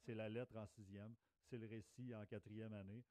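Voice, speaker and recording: A man's voice: on the low side, little pitch movement, moderate pace, dark tone, dry recording. A man with a Quebec French accent chatting casually as co-host of a teaching podcast. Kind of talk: casual conversational podcast talk.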